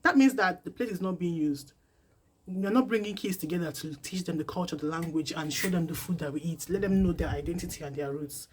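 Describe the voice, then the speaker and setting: A woman from Nigeria, talking casually to camera as a vlogger from her home, animated and full of repeated rhetorical questions. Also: lowish voice, brisk.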